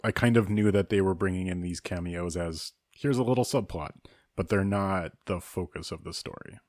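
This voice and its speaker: Man, very low voice, film podcast co-host, measured, knowledgeable, dry sound. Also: dry recording. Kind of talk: casual film review chat.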